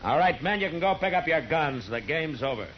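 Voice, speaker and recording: An American actor playing a stern, steady frontier marshal in a 1950s radio Western, commanding and terse. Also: male voice, fairly high pitch, a little fast, over a noisy crowd. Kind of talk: 1950s radio drama acting. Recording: dull vintage 1950s radio recording.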